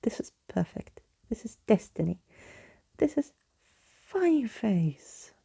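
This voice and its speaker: A woman's voice: a little high, big pitch swings, slow, creaky, mellow, husky, no echo, exaggerated voice. A woman voicing a plucky, eager young girl in a storybook, wide-eyed and full of playful slang.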